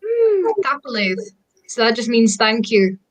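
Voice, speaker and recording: A woman's voice: fairly high pitch, very animated, unhurried, low-quality recording. Laughing Scottish woman, Gaelic speaker. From Scotland. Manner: laughs often, explains words with enthusiasm. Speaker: guest. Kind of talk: casual video call chat.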